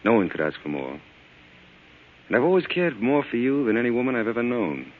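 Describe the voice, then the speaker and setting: An actor playing a cocky, evasive young mob lawyer in an old-time radio drama, brisk and defensive. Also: male voice, mid-range voice, very animated, slight background noise, close to an everyday voice. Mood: earnest, awkward. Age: young adult. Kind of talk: 1940s radio drama acting. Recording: dull lo-fi old radio recording.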